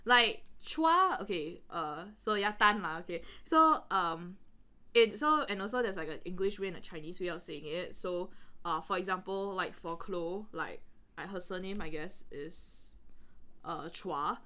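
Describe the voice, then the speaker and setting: A woman's voice: lowish voice, animated, unhurried, sharp tone. A woman with a Singaporean accent chatting casually as a podcast co-host, rambling and giggly, with frequent exclamations. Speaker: podcast co-host reading and commenting. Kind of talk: casual chatty commentary with a friend.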